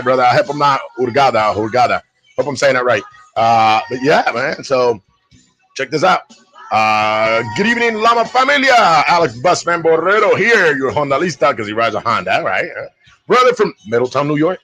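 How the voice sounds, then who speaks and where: A man's voice: medium pitch, lively intonation, fairly quick, sharp tone. A man from Puerto Rico hosting a live stream, chatting informally and addressing listeners like brothers. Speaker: live show host. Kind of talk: casual live-stream chat.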